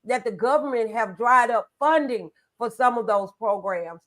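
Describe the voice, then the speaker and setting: A woman with a fairly high voice hosts a motivational talk show. She talks conversationally, with earnest emphasis on her points. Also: normal speed, fairly bright tone.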